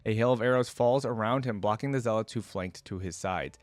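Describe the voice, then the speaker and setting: A man's voice: fairly low pitch, a little fast, no room sound. A man who creates reaction videos, reading a story aloud expressively and dramatically, with casual asides.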